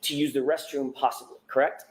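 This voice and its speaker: A man works through formal courtroom questioning as a trial lawyer. He talks briskly, with precise, polite phrasing and leading questions. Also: medium pitch, level tone. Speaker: defense attorney cross-examining a witness.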